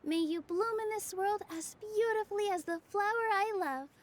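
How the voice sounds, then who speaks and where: A woman voicing a gentle young forest fairy in a video game, soft and earnest, with music behind. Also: very high voice, moderate pace, slight background noise. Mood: tender, solemn.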